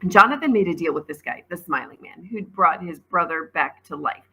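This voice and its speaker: A woman's voice: fairly low pitch, animated, fairly quick, over loud music. A woman voicing a practical, skeptical, impatient schoolboy in a storybook, blunt and questioning.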